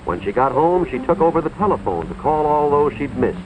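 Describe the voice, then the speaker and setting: An American man playing an earnest, authoritative school official, narrating a cautionary tale in a deliberate, moralizing 1950s educational film style, with dramatic emphasis. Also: on the high side, big pitch swings, background noise. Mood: grave, knowing. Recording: tinny, band-limited 1950s film soundtrack, music behind.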